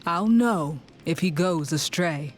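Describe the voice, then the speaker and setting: An actor playing a rebel leader in a video game cutscene, measured and commanding as he plans an attack. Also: fairly high pitch, animated, over music. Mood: resolute, measured.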